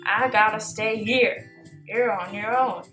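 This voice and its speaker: A woman voicing a big, bossy male monster in a children's storybook, with a gruff, growling delivery. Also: mid-range voice, slow pace, a bit bright, echoey room, faint music behind. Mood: gruff, resigned. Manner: growling, thundering commands. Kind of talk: children's storybook read-aloud.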